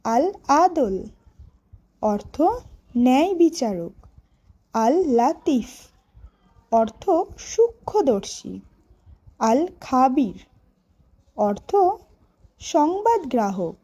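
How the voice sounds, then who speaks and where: High, bright woman teacher, very slow. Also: sing-song, husky. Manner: deliberate, instructional, word by word. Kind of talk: children's educational lesson, reciting names with meanings.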